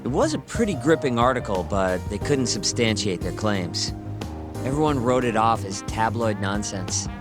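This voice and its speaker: A man's voice: on the low side, sing-song, normal speed. An American man playing a savvy, breezy informant in a video game cutscene, talking in a casual, wry storytelling way. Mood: casual, surprised. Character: savvy, breezy male informant laying out a scandal. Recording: music behind the voice.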